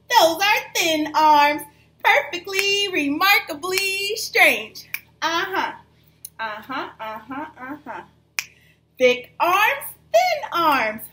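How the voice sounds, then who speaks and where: Young lady teacher doing a sing-song rap storytime for kids, bright slow delivery, bit of background noise. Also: very high voice. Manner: animated, sing-song, encouraging to young children. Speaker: early childhood teacher reading aloud. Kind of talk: rhythmic rapped children's read-aloud. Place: small plain room indoors.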